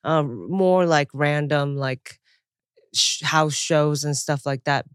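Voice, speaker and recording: A woman's voice: sits low, slow pace, smooth, no room sound, clean studio sound. A woman from Taiwan and Japan, a comedian guest chatting casually in an interview, laughing often and trailing off into fillers.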